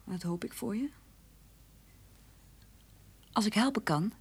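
A woman's voice: mid-range voice, expressive, takes it slowly, breathy edge, heavily acted. A woman playing a concerned, practical female character in a radio drama, speaking naturally. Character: concerned, practical divorced woman offering help. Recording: very noisy radio drama recording.

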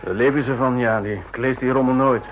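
An actor playing a blunt army general in a radio drama, with a husky voice and clipped military phrasing. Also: male voice, mid-range voice, fairly even intonation, brisk, a little hiss or hum, close to an everyday voice. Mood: dismissive. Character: male army general, blunt, gruff career soldier. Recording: dull lo-fi old radio recording.

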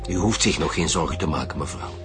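An actor playing a dry, sceptical, easily irritated senior police detective with a Flemish accent and a curt, measured delivery in a radio drama. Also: male voice, very deep voice, lively intonation, over music. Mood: businesslike. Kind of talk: naturalistic radio drama acting.

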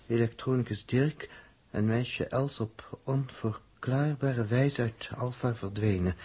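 An actor playing a serious, protective spaceship electronics expert with a husky voice in a 1960s radio drama. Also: male voice, medium pitch, a little mellow.

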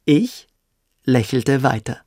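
An actor playing a smug, quietly cruel young boarding-school pupil, telling his story theatrically in a radio play. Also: medium pitch, animated, slow pace, no room sound, studio quality. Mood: mocking. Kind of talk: dramatic first-person storytelling in a radio play.

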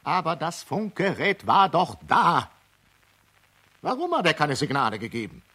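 A man's voice: on the high side, very animated, a little slow, sharp tone, breathy edge, heavily acted. A man in a radio play, playing an insistent insurance agent who argues his points. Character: insistent male insurance agent, questioning, justifying himself.

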